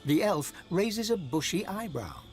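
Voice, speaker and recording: A man acting as the narrator of a fantasy game, telling scenes in an expressive storybook style. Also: high-pitched, a little slow, faint music behind. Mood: wry, descriptive. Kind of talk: scripted second-person narration.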